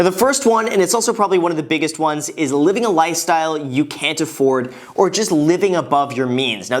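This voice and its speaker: A man in his late twenties, talking to camera in an upbeat, conversational way while giving money advice. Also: a little high. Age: 20s. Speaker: finance vlogger. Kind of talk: casual advice talk to camera.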